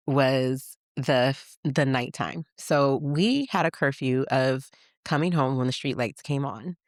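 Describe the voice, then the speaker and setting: A woman from Michigan hosting a podcast, telling childhood stories in a chatty, animated way and laughing as she goes. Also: rumbling low, dry recording, studio quality. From Michigan, USA. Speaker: podcast host. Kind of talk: casual personal storytelling.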